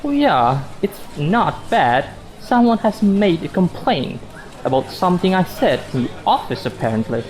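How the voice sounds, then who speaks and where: A man's voice: high voice, sing-song, moderate pace, a little mellow, rough recording. A man playing a sarcastic, defensive office worker husband in a radio drama, sardonic and emphatic. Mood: tense, bitter. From Indonesia. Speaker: actor in a student radio drama.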